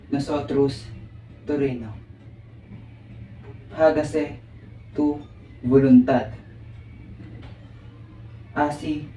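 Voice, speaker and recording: Very slow, solemn man praying in roomy space. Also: medium pitch, mellow. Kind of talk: slow solemn prayer recitation in Spanish. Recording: roomy, distant, music behind.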